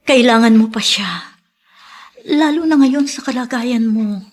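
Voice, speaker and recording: A woman's voice: on the high side, unhurried. An actress playing a tender, forgiving mother who longs for her child, earnest and emotional in melodrama style. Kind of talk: Filipino melodrama acting.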